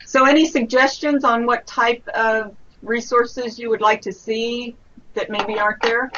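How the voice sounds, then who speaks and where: A woman's voice: a little high, steady tone, a little slow, a bit bright. A woman talking casually on a work conference call. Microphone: conference call microphone. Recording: dull, faintly noisy conference-call audio.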